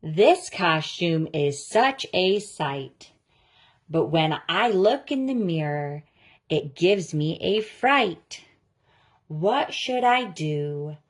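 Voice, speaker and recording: A woman reading a children's picture book aloud, with a deliberate, expressive storytelling delivery. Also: fairly low pitch. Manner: slow, deliberate, expressive delivery of rhyming lines. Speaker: story reader.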